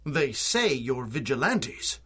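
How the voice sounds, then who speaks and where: A middle-aged, wealthy father with a Canadian accent, husky and bright-toned, who blusters and frets. His voice swings widely and he speaks slowly for all his agitation, in the manner of a radio play. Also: medium pitch, big pitch swings, heavily acted. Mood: worried. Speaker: father of missing girl. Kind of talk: radio drama acting.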